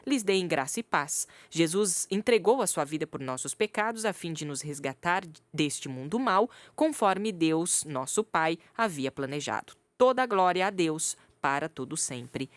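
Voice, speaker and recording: A woman's voice: low voice, lively intonation, unhurried, few pauses, no room sound. A woman co-hosting a radio podcast, chatting casually about the Bible and laughing often. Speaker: podcast co-host. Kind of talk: casual Bible study chat. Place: radio studio.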